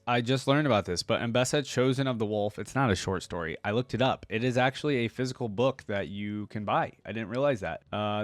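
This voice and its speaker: A man's voice: mid-range voice, no echo. Casual male reaction host, dry close sound. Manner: informal, addresses viewers as guys.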